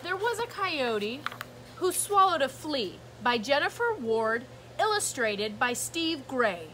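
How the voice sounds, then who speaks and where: A woman reading a rhythmic picture book aloud to children like a teacher, animated and sing-song, with asides to her listeners. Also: sits high, a little hiss or hum, low-quality recording. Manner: animated, sing-song, drawn-out exclamations and gasps; engaging listeners with asides and prompts. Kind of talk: rhythmic storybook read-aloud.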